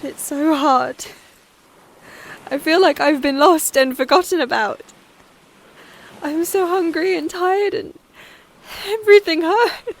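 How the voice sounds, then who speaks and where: A woman's voice: extremely high pitch, slow pace, long pauses, heavily acted. A woman playing an injured, despairing castaway alone on an island, speaking a raw, weary diary monologue in an audio drama. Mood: anguished, tearful. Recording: music behind the voice.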